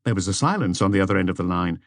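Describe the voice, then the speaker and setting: Low-voiced man narrating in a dry recording. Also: expressive, racing, no echo. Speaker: audiobook narrator. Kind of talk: scripted detective novel narration.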